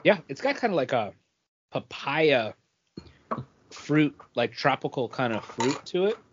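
A man's voice: mid-range voice, very animated, unhurried, a little hiss or hum. A man chatting casually as a podcast co-host. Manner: frequent throat clearing. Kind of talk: casual chat. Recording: dull, dry lo-fi podcast audio.

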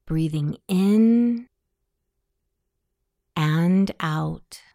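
Female meditation guide, soothing and extremely unhurried, mellow tone, close dry sound. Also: fairly low pitch, vocal fry, dry recording. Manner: soothing, measured, long pauses between phrases. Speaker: hypnosis session guide. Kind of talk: guided meditation, self-hypnosis narration.

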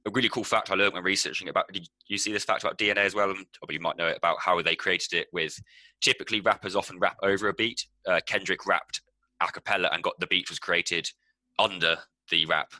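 A man's voice: sits low, fairly even intonation, fast talker, bright, forceful tone, dry recording, poor audio. A man co-hosting a casual music podcast, rambling and swearing with lots of ums. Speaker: podcast co-host.

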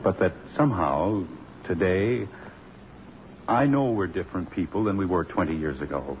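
Actor as a deep voiced earnest middle-aged professor, old radio romance, dull sound, faint hiss. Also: male voice, moderate pace, natural voice. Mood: tender, hopeful. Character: earnest, gentlemanly middle-aged academic, wistful suitor. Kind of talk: 1950s radio theater acting. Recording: muffled, hissy old radio broadcast.

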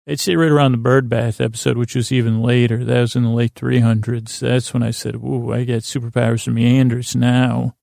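A man hosting a podcast in a meandering, halting monologue, often repeating words and restarting sentences, with a self-deprecating manner. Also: medium pitch, flat delivery, gravelly, a little mellow, no room sound, clean studio sound. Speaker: podcast host. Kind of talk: rambling monologue introducing a sleep podcast.

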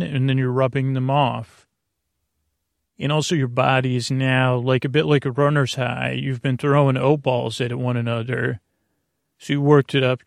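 Gravelly guy doing a rambly sleep podcast, loses his thread a lot, real dry sound. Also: medium pitch, no room sound. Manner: meandering, halting, trailing off mid-thought. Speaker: podcast host. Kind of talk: rambling improvised bedtime monologue.

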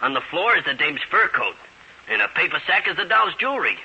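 Brash raspy new cabbie, sharp and highish, wisecracking, muffled old radio show. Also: male voice, very animated, fairly quick, full character voice. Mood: wry. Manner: slangy, brash. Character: cocky wisecracking New York cab driver, tough little man. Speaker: radio actor. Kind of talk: old-time radio acting. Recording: dull old-time radio recording.

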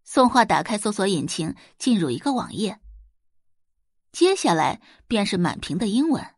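Woman audiobook narrator for serial fiction; dramatised delivery, lively pitch movement, dry studio-like sound. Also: moderate pace, breathy edge, no room sound. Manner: expressive, dramatised storytelling. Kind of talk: scripted audiobook narration of a web novel.

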